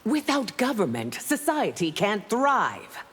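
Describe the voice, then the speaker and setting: A woman's voice: medium pitch, huge pitch swings, slow pace, a bit bright, slight background noise, heavily acted. A woman voicing a proud, imperious ruler in a fighting game, with a formal, haughty and scornful delivery. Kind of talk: fighting game character acting. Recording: reverberant hall.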